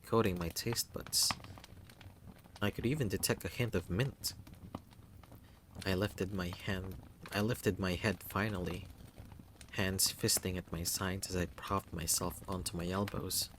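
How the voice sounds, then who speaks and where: A narrator reading a first-person visual novel story in a measured, unhurried way. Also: sits low, steady tone, fairly bright tone, a little hiss or hum, low-quality recording. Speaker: narrator and game reader.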